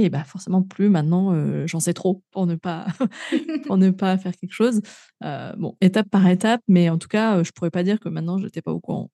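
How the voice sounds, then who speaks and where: Woman from France, podcast host; dark gravelly tone, chatty with lots of euh and restarts. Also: on the low side, no echo, studio quality. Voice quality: frequent fillers and restarts, laughs easily. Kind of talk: casual interview, then outro to listeners.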